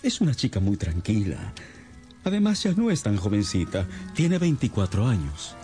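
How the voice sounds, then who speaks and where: Casting: agitated middle-aged gentleman father, radio drama, mellow mid-range voice, music underneath. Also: lowish voice, wildly swooping pitch, normal speed. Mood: troubled, earnest. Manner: agitated, earnest. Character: distressed respectable older father, a Victorian gentleman. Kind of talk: Spanish-language radio drama acting.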